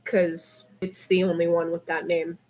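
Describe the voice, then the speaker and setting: A woman's voice: on the low side, little pitch movement, unhurried, a little mellow. A woman reading fiction aloud in an informal, slightly unpolished storytelling style. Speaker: podfic narrator reading fan fiction.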